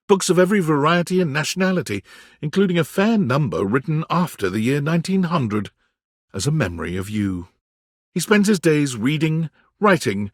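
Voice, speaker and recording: Storyteller narrator for a game, breathy husky voice, really expressive, dry booth sound. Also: male voice, mid-range voice, dry recording. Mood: tender, wistful. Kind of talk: dramatic scripted game narration.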